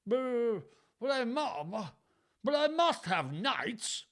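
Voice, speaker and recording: A man's voice: extremely high pitch, very animated, slow, a bit bright, voice pushed up, exaggerated voice. A man voicing a proud old king who whines and rages, read aloud from a storybook. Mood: blustering.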